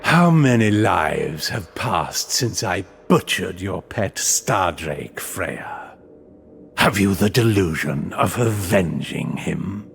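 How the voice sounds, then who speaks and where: An actor playing a menacing, mocking undead spectre in a video game cutscene, theatrical and taunting. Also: male voice, low-pitched, very animated, takes it slowly, creaky, fairly bright tone, slight background noise, full character voice.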